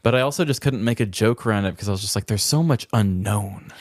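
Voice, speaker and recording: Male podcast co-host, somewhat deep voice, conversational and loose, dry studio-tight sound. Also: fast, dry recording. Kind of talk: casual comedic chat, telling odd crime stories.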